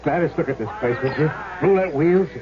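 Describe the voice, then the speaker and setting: An actor playing an awestruck, naive small-time fellow from New Jersey in a radio drama, excitable and wide-eyed. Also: male voice, a little high, a little fast, close to an everyday voice. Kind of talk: vintage radio drama acting. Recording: muffled old radio recording, music behind.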